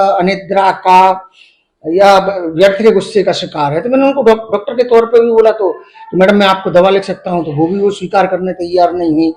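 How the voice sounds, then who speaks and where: A middle-aged man giving an interview, speaking haltingly but emphatically and mixing in English words. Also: high-pitched, darkish tone, rough recording. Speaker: medical professor giving an interview. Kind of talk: spoken interview, explaining his grievance.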